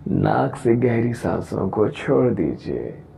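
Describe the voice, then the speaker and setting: A man leading a guided meditation in a calm, gentle, deliberate way, with long pauses between phrases. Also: fairly low pitch, vocal fry, a little hiss or hum. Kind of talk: guided meditation, slow soothing instruction. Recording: voice over background music. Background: meditation music.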